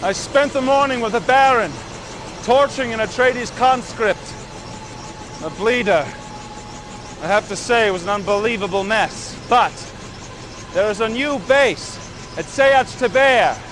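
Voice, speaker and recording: A very high-pitched cruel henchman. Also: unhurried, pauses often. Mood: casually cruel. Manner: emphatic, theatrical, gleefully cruel. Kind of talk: dramatic game cutscene acting. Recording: clearly noisy background.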